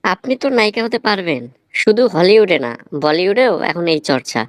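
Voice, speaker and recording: A man's voice: sits high, expressive, brisk, no echo, clean studio sound. A man voicing a blunt, teasing film writer who lectures sardonically in a Bangladeshi accent, in a comic cartoon. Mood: lecturing.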